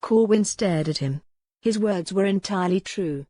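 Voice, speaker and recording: A woman's voice: medium pitch, moderate pace, dark tone, no room sound, clean studio sound. Woman narrating a historical romance audiobook.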